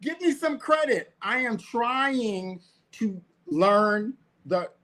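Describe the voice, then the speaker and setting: A man's voice: very high-pitched, lively intonation, slow pace, fairly bright tone. A man with an American accent giving casual political commentary as a co-host, emphatic and argumentative, speaking straight to the listeners. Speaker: talk show co-host.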